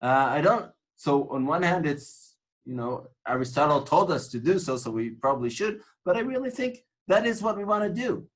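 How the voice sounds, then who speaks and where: A man's voice: fairly high pitch, animated, normal speed, few pauses. Man lecturing, earnest and reflective. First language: Hebrew. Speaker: tour guide instructor. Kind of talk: informal lecture on guiding.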